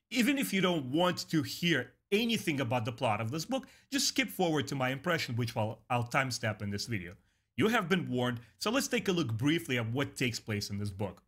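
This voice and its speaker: A man's voice: medium pitch, a bit bright. A man hosting a review show, giving a casual spoken review and summary. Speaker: host of a book review show.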